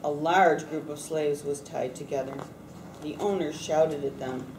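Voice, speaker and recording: A woman's voice: sits low, fairly even intonation, a little slow, a little mellow. A woman on a school staff reading a storybook aloud to children. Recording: distant mic, echoey room, clear background noise.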